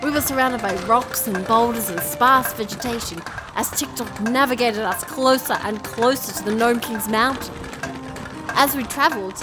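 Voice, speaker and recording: A woman's voice: on the high side, normal speed, music in the background, close to an everyday voice. A woman playing an earnest storyteller, narrating a fantasy journey with composure in an audio drama. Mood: composed, earnest.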